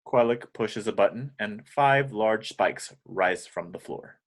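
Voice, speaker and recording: A man's voice: lowish voice, a little slow. A man narrating scripted stage directions at an amateur table read.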